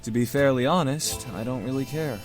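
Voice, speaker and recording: A man's voice: mid-range voice, brisk, faint music behind, close to an everyday voice. A man playing a defiant demon heir in a visual novel game, speaking in a formal, firm, cutting way. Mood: cold. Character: defiant young male demon heir rejecting his birthright.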